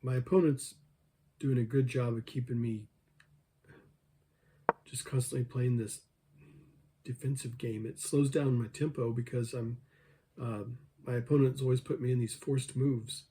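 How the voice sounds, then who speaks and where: A man who is losing his voice, hoarse, thinking aloud over an online chess game and joking with himself as he plays. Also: medium pitch, steady tone, measured pace, pauses often, mellow. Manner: mutters his thoughts, talks to himself, jokes self-deprecatingly. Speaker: chess video creator.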